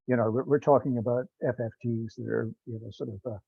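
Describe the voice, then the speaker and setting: A man talking informally and technically on a conference call, halting and hesitant as he explains. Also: medium pitch, steady tone, vocal fry, slightly rough, no echo. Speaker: meeting participant explaining software. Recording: dull, lo-fi conference call audio.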